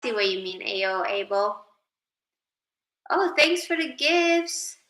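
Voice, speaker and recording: Woman livestream host, higher voice with a bright edge, unhurried and chatty. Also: expressive, echoey room. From Sweden. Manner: chatty, reads viewer names aloud, drifts into spiritual musing. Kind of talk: casual livestream chat reading comments.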